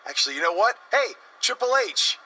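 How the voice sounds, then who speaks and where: Man, young game wrestler, bright forceful voice, slightly high pitch, cocky, earnest. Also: big pitch swings, fairly quick, slight background noise, full character voice, poor audio. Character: cocky young male wrestler, eager and defensive. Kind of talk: video game story-mode acting.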